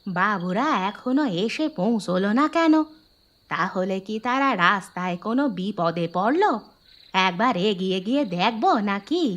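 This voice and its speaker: A woman's voice: medium pitch, fairly bright tone. A woman playing a dutiful, polite bungalow caretaker in a horror cartoon, deferential and attentive. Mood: worried. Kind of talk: animated horror cartoon dialogue.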